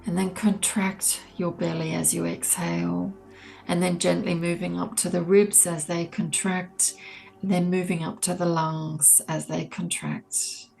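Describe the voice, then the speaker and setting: A woman leading a guided meditation, speaking gently and in a measured way with long pauses between soothing instructions. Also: lowish voice, creaky, low-quality recording. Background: soft ambient meditation music.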